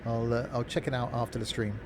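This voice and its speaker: A man with a mid-pitched voice talks off the cuff as a game streamer. He is informal and jokey, drifting between commentary and replies to his chat. A steady bed of noise and vehicle rumble sits clearly behind his microphone. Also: fairly quick. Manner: informal, jokey, chatty asides. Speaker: live streamer playing a train simulator and chatting with viewers. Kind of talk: casual live game commentary, replying to chat. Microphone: streaming microphone through a mixing desk.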